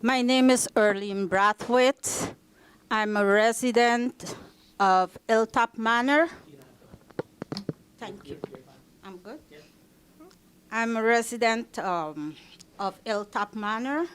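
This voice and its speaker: Slow-speaking earnest woman resident. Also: on the high side, smooth. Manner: earnest, pleading, repeats points. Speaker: public commenter, housing resident. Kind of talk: public comment at a meeting. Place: public meeting room. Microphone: meeting microphone.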